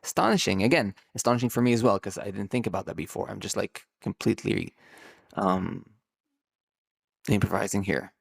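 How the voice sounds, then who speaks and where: A man's voice: lowish voice, fairly quick, gravelly, breathy edge. A man hosting his own podcast, thinking aloud off the cuff, drawing out key words and often restarting his sentences. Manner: rambling, musing, with many restarts. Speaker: podcast host, numerologist. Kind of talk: improvised solo podcast monologue.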